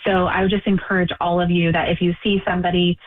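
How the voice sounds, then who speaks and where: A woman's voice: lowish voice, flat delivery, fast. An American woman speaking earnestly as a charity guest in a radio interview appeal. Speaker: homeless charity representative guest. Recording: dull band-limited sound, music behind.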